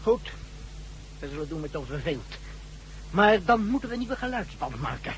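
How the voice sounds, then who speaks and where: Subservient alien crewman in a sci-fi radio play: high voice, stiff, wary delivery, slight hum behind. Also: sing-song, moderate pace, low-quality recording. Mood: suspicious, submissive. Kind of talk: radio drama acting.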